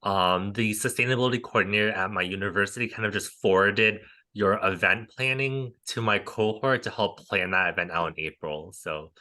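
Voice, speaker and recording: A man's voice: lowish voice, brisk, few pauses, pushed and bright. A man, a graduate student, introducing himself casually on a video call.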